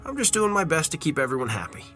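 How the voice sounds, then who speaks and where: Cheerful hippie man, genial, bright tone, lively pitch, drama, faint music. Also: quick pace. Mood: genial, playful. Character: cheerful hippie airline worker in angel costume. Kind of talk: audio drama acting.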